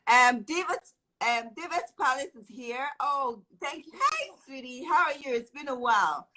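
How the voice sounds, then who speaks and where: A woman hosts a casual live stream with a bright, forceful voice set a little high. She gushes with praise and encouragement and repeats her phrases for emphasis. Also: very animated, moderate pace, low-quality recording. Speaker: host of a live online show.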